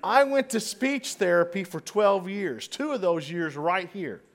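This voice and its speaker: Dramatic male preacher, slightly high voice. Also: lively intonation, a little slow. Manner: dramatic, swings between loud and hushed; stutters at times. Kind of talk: sermon storytelling. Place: church stage.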